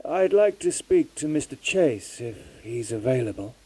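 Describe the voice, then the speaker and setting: A man voicing a quiet, formal, grieving middle-aged caller in an audiobook, speaking softly and politely. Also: fairly high pitch, steady tone, a little slow, no room sound, pitched above the performer's own voice. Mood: subdued. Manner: subdued, formal, soft-spoken. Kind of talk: audiobook character voice.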